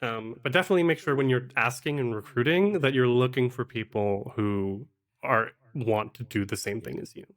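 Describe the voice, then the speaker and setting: A man with a medium-pitched voice speaks as a guest on an interview podcast. His delivery is casual and conversational, that of someone explaining his craft to a peer. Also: moderate pace. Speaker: podcast guest, actual play game master and producer. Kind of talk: casual interview chat giving advice.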